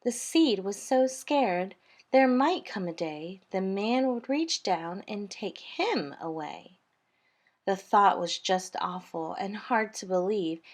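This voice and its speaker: A woman reading a children's storybook aloud in an expressive, deliberate, rhythmic way. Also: mid-range voice. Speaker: storytime reader.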